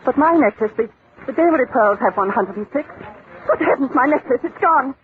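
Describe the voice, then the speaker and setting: A woman's voice: high voice, animated, fairly quick. A woman playing a haughty, meddling middle-aged society lady in an old radio drama, grand and affected. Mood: alarmed, indignant. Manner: grand, affected, easily indignant. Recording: tinny old radio recording, faint noise.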